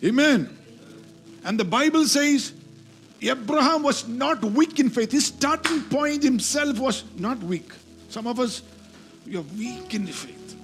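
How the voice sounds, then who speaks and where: A man's voice: very high voice, expressive, measured pace, soft background music. A man preaching a sermon, emphatic and repeating key phrases as he teaches from scripture. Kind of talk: sermon with scripture reading and call-and-response.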